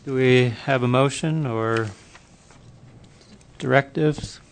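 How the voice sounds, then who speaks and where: A man's voice: mid-range voice, slow, slight background noise. Man, meeting chair, procedural tone, clicking noises over the room mic. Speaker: board chairman.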